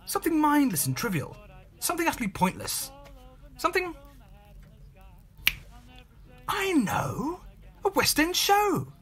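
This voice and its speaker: A man playing a bored, world-weary gentleman in his thirties, narrating a homemade radio play in a wry, clipped way. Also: very high voice, huge pitch swings, moderate pace, voice pushed up, exaggerated voice. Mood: rousing himself.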